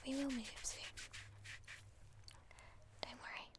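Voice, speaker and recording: A British woman playing an obsessive, lovesick captor in an intimate whispered ASMR roleplay, breathy, cooing and emotionally fragile. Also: sits high, steady tone, slow pace. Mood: doting, possessive. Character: obsessive lovesick female captor, tender, volatile, doting on captive.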